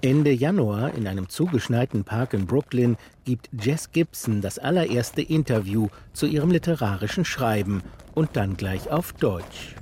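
A man delivering a scripted radio book review in a measured, articulate way. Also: mid-range voice, animated, warm tone, slight background noise. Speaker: literary critic reporter.

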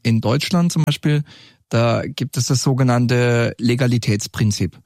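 The senior officer invited onto a studio podcast, a man with a creaky voice explaining how his unit works into a close, echo-free microphone. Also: medium pitch, unhurried, a little mellow. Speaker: police group leader, interview guest. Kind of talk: explanatory interview answers.

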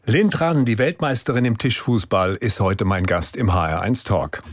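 A man hosting a radio talk show, interviewing a guest in a calm, measured way from a studio. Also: fairly low pitch, dry recording, soft background music.

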